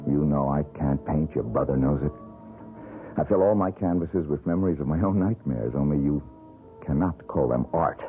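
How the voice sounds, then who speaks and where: A gloomy broke painter, real deep voice, bitter and self-mocking, tinny old radio sound w/ faint music. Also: male voice, normal speed. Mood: brooding. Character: brooding bitter poor male painter haunted by nightmare. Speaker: struggling painter. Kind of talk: 1970s radio drama acting.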